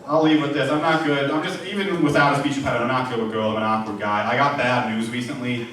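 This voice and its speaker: A man's voice: medium pitch, steady tone, fast. A man in his twenties doing a stand-up comedy set, self-deprecating and awkward, with a speech impediment and lots of "like.". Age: 20s. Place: comedy club stage. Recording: echoey room, far from the mic, loud crowd noise. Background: audience laughter.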